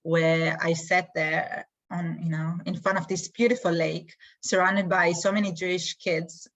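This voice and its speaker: A woman with an Israeli accent, answering interview questions in a thoughtful way with many pauses. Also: lowish voice, flat delivery, a bit bright. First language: Hebrew. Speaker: guest, community emissary.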